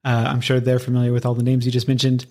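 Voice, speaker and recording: Male American interviewer, mid-pitched, easygoing podcast-coach chat, informal and loosely phrased. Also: monotone, quick pace, a little mellow.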